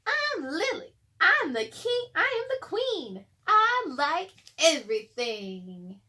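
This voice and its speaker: A woman's voice: very high-pitched, big pitch swings, slow pace, bright, forceful tone, voice pushed up, exaggerated voice. A woman voicing a bossy, exuberant little girl mouse, boastfully declaring herself in a storybook read-aloud. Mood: boastful, excited.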